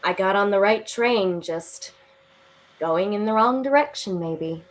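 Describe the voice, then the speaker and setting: A woman's voice: medium pitch, natural voice, low-quality recording. A young actress playing a tipsy, giggly woman waiting for a late-night train in a radio drama. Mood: flustered. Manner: giggly, drawn-out tipsy delivery.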